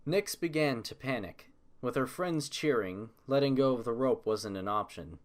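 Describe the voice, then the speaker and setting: A man's voice: mid-range voice, expressive, normal speed. A man reading scripted story narration as the narrator.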